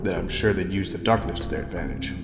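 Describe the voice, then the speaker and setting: A man playing a stubborn, commanding adventurer in a fantasy audio drama, speaking gravely. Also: deep voice, quick pace. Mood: wary. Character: determined, commanding male adventurer in a fantasy party. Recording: echoey reverberant hall with music behind.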